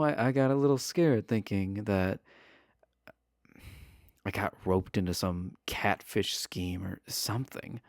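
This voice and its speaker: A shy, friendly villain behind bars, acted by a man, talking to the listener in a romantic audio roleplay. His voice is low and creaky, and he takes his time, stumbling earnestly over his words. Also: darkish tone, no echo, studio quality. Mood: shyly amazed. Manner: hesitant, stammering, awkwardly earnest.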